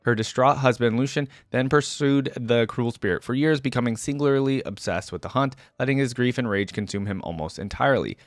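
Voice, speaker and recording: A man's voice: medium pitch, brisk, few pauses, dry recording, clean studio sound. A man hosting a reaction video, reading lore aloud and commenting on it casually.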